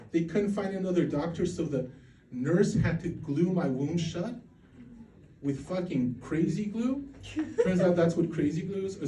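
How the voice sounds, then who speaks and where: A man's voice: high-pitched, dark tone, a little hiss or hum. A man in his forties from Poland doing a stand-up routine, rambling and self-mocking, with crude punchlines and lots of restarts. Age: 40s. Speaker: stand-up comedian. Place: comedy club stage. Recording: roomy venue sound from a distance.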